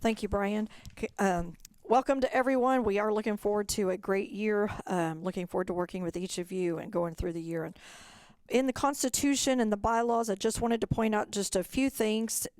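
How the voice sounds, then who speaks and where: A woman's voice: medium pitch, fairly even intonation, normal speed, darkish tone, smooth, no echo. A woman presenting in an online webinar, explaining things politely and instructively as a director. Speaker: director of fine arts, presenter.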